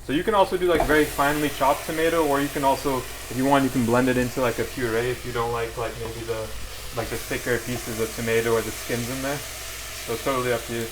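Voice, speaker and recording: Male guest cook, quick informal instruction, average pitch, pan sizzle clearly audible. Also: fairly even intonation. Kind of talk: casual cooking instruction. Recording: clear noise of frying and sizzling. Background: sizzling pan in a cooking demo.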